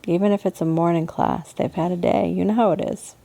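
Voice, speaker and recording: A woman leading a guided meditation as a yoga teacher, speaking in a soothing, gentle manner with unhurried pauses. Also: lowish voice, fairly even intonation, vocal fry.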